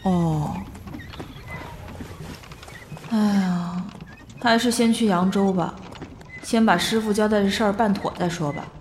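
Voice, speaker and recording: A woman's voice: medium pitch, moderate pace, a little mellow, slight background noise, lightly acted. Young disciple girl in boy's disguise, a woman acting, mid-range voice, respectful, inquisitive, a little hesitant, Chinese historical radio play. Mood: curious, pensive. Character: young orphaned female disciple disguised as a boy, polite, curious. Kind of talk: Chinese period audio drama acting.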